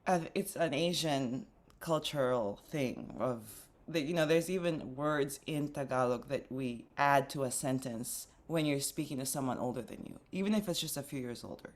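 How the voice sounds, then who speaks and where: Young female dancer-director from the Philippines, strikingly low voice, thoughtful, hesitant delivery. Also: normal speed. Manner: reflective, lots of fillers and restarts. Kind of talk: casual interview conversation.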